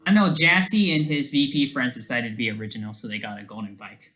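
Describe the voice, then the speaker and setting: A man talking casually on a livestream, rambling and halting, often trailing off mid-thought, with a sardonic, self-deprecating streak. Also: mid-range voice, pushed and bright.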